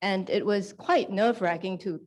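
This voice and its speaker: A woman executive shares stories on a panel in an even, medium voice. She pauses often on "um," speaks modestly, and brushes off her own remarks with a light laugh. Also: medium pitch. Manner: halting, many "um"s, self-deprecating. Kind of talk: panel discussion, career anecdotes.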